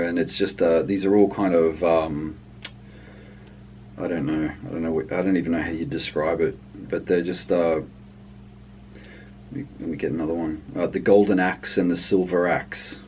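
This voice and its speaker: Low-voiced man, hesitant and rambling. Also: little pitch movement, normal speed, a little mellow, slight background noise. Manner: hesitant, rambling delivery with trailing thoughts. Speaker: language book reviewer. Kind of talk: casual unscripted review chat.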